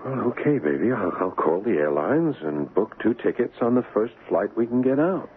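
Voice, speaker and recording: An actor playing a devoted, sceptical husband with an American accent in a 1970s radio drama. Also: male voice, fairly low pitch, very animated, moderate pace, slight background noise. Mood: affectionate, light. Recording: tinny 1970s radio, music behind.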